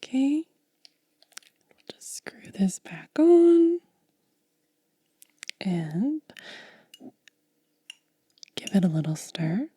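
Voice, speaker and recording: A woman whispering in soft-spoken ASMR style, gently and unhurriedly explaining each step close to the microphone. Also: mid-range voice, very animated, extremely slow, pauses often, no room sound. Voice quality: breathy whisper. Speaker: ASMR content creator.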